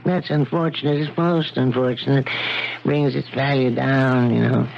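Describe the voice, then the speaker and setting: A man's voice: medium pitch, steady tone, moderate pace, vocal fry, a little hiss or hum, natural voice. An actor playing a shrewd, hedging businessman who speaks formally and hesitantly, in a 1970s radio mystery style. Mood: formal, regretful. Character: hedging, shrewd businessman boss making lowball offer. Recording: muffled 1970s radio recording.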